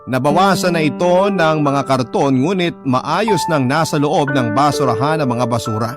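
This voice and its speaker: A male actor voices a remorseful Filipino shop owner telling his story on a radio drama. His somewhat high voice is measured and confessional. Loud music plays behind him throughout. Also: close to an everyday voice. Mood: regretful, confessional. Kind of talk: first-person dramatised narration for radio programme.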